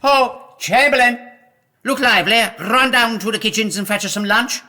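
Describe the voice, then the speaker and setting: Imperious medieval lord, comedy audio drama: high, sharp, blustering and pompous. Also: male voice, moderate pace, exaggerated voice. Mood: bossy, shouting orders. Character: blustering, pompous lord of a castle, vain about hats. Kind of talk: comic audio drama acting, mock-medieval.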